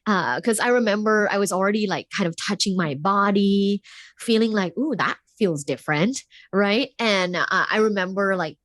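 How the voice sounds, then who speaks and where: Woman from thailand, candid interview guest, quick laughs, lots of fillers, dry close sound. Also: mid-range voice, fairly bright tone, no echo. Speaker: guest, professor. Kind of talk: casual interview talk.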